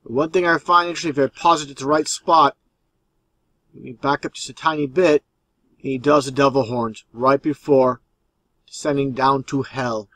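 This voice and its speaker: A man's voice: mid-range voice, fairly even intonation, moderate pace, fairly bright tone, dry recording, rough recording. Man commenting insistently, close and dry. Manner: repetitive, insistent explaining. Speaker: video host and commentator. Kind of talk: conspiracy commentary over video clips.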